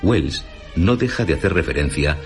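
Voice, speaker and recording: Deep-voiced male narrator, lively intonation. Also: moderate pace, soft background music. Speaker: narrator of a radio programme. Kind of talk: scripted documentary narration.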